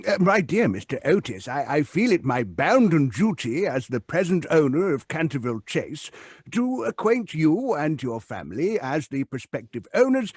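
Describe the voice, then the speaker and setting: Aristocrat played by a man, radio drama. Higher-set, swooping voice, courteous and hesitant. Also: big pitch swings, normal speed, a little mellow, husky, dry recording, studio quality. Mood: earnest, courteous. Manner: formal, hesitant, ornate politeness. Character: courteous, hesitant English lord, owner of a haunted house. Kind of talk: old-time radio play acting. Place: home recording booth, video call. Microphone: close studio microphone.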